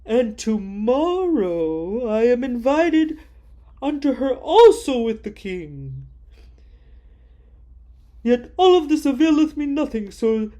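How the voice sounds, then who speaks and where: A woman voicing a proud, boastful male official in a scripture reading, speaking loudly and grandly. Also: fairly high pitch, big pitch swings, slow, long pauses, voice pushed up. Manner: loud, boastful, declaiming.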